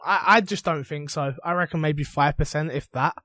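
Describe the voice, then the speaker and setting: A man's voice: on the high side, moderate pace, pushed and bright, dry recording, studio quality. A man chatting casually on a podcast panel in a blunt, informal, argumentative way. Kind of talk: casual chat.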